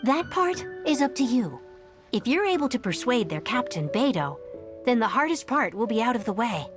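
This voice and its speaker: A woman playing a gentle young exile in a video game, speaking in an earnest, measured storytelling way. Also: fairly high pitch, big pitch swings. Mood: earnest, advising. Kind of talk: video game dialogue acting. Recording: game music behind the voice. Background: background music.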